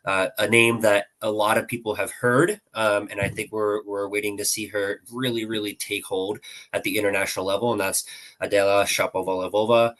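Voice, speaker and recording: A man's voice: lowish voice, level tone, normal speed, fairly bright tone. A man giving earnest hockey analysis as a podcast guest, hedging often and talking over a video call. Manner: earnest, hedges often with "I think".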